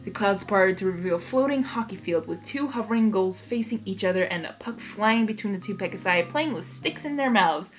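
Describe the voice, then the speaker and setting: A woman reading fan fiction aloud in an expressive, giggly way, narrating and reacting as she goes. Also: medium pitch, brisk, few pauses, faint music behind.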